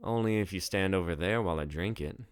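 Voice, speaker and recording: A man's voice: sits low, fast, smooth, dry recording, natural voice. A man voicing a sardonic woman who is a regular at the bar, blunt and dry in manner, in a storybook.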